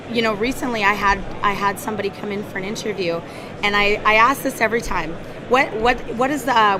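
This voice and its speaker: A woman in HR giving an earnest conference talk, with a scratchy voice, often repeating her words. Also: mid-range voice. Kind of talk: conference presentation. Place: conference hall.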